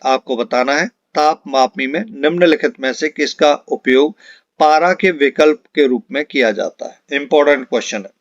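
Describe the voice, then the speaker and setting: Higher-voiced male teacher, dull recording. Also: moderate pace, no echo. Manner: instructional, repeats questions and answers for emphasis. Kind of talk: exam-prep lesson reading out multiple-choice questions and answers. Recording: dull, lo-fi close dry recording.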